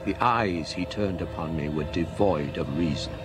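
An actor playing a scholarly middle-aged gentleman, gravely recounting a ghostly ordeal in measured literary radio-drama narration. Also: deep voice, music in the background, lightly acted. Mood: fearful, urgent.

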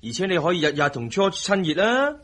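Husky-voiced young husband. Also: male voice, high-pitched, very animated, brisk, a bit bright, full character voice. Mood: irritated. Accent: Hong Kong Cantonese. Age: young adult. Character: doting, quick-tempered young husband and elder brother. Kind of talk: radio drama acting.

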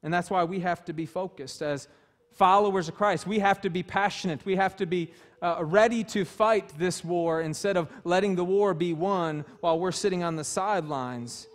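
A man's voice: high-pitched, normal speed. A man in his thirties preaching a sermon as pastor, emphatic and passionate, in a small church. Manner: passionate, emphatic, gesturing freely while preaching. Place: small church stage with wood-panel walls.

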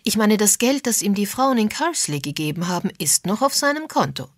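A woman's voice: mid-range voice, big pitch swings, brisk, no echo, clean studio sound, pitched above the performer's own voice. A woman voicing a tough, blunt woman amateur sleuth, frank and musing, in an audiobook.

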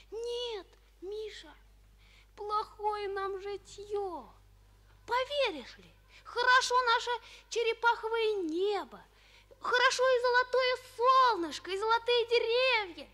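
Very high, childlike little bell boy. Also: bright, forceful tone, full character voice. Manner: drawn-out sing-song with chiming syllables. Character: small plaintive bell-boy from a music box. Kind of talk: 1940s radio play acting. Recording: old 1940s radio recording.

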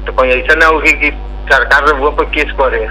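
A man's voice: a little high, fairly even intonation, normal speed, sharp tone. A man with a Bihari accent on an informal official phone call as an office clerk, questioning insistently. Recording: noisy, humming recorded phone call.

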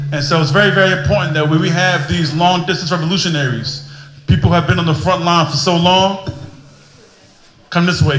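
Rally emcee working the crowd. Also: male voice, fairly high pitch. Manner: call-and-response, asking the crowd "is that right". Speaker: event emcee. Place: outdoor stage in front of a mural. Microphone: handheld microphone. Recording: echoey outdoor PA sound.

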